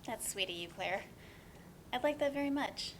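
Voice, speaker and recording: A woman's voice: a little high, expressive, moderate pace, a bit bright, slight background noise. A woman playing a wry, sarcastic young woman with a dry, natural delivery in a play reading. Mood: fond, amused.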